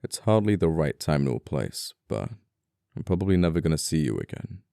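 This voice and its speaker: Casting: male actor for scoundrel murderer in ASMR roleplay, very deep and smooth, politely menacing, apologetic, flirtatious, quaint wording. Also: sing-song, normal speed, no room sound, studio quality, heavily acted. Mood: apologetic, flirty. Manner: soft-spoken politeness, condescending, old-fashioned phrasing. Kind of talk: intimate ASMR audio roleplay acting.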